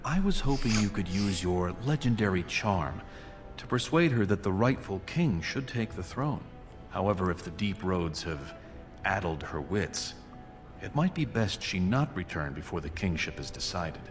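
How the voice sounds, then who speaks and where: An actor playing a scheming, courtly dwarf prince in a video game, speaking formally and persuasively in measured phrases. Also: male voice, sits low, big pitch swings, music in the background. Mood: smooth, commanding. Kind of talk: video game dialogue acting.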